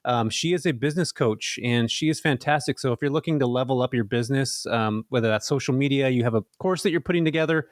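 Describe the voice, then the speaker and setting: A man's voice: medium pitch, fast, few pauses, dry recording, clean studio sound. A man co-hosting a podcast, chatting casually and hyping up the guest with enthusiasm. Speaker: podcast co-host.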